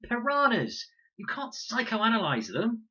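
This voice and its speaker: A man voicing an anxious, sharp-tongued, indignant young girl in a storybook, with a sarcastic, incredulous manner. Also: extremely high pitch, wildly swooping pitch, a little slow, slightly rough, highs cut off, pitched above the performer's own voice, full character voice.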